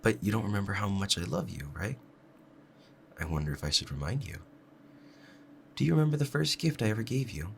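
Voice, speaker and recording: A man playing a doting, obsessive kitsune husband in an intimate ASMR roleplay, speaking softly and clingily to the listener. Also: lowish voice, very animated, fairly quick, vocal fry, long pauses, a little hiss or hum. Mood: adoring, giddy. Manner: soft, clingy, adoring, hesitant.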